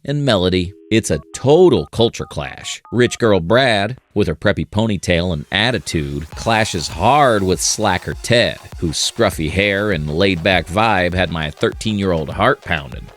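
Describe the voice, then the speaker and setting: Middle-aged American male narrator, lowish pitch, for a slangy countdown; punchy, playful delivery over soft background music. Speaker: host of a nostalgia video channel.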